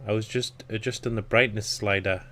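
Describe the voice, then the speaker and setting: Croaky man thinking aloud over gameplay. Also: fairly low pitch, steady tone, a little fast, fairly bright tone. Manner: rambling with fillers, thinking aloud. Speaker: let's play commentator. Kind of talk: casual gameplay commentary.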